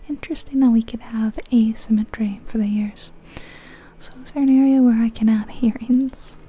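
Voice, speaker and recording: A woman whispering breathily in an ASMR style, musing aloud in an unhurried, soft way as she comments on a game. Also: on the high side, fairly even intonation, slightly rough. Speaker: ASMR video creator.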